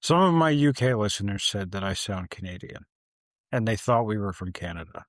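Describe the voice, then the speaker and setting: A man's voice: fairly low pitch, expressive, brisk, no room sound. A man co-hosting a podcast, talking casually in a blunt, dry, sarcastic way. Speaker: podcast co-host. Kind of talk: casual chat.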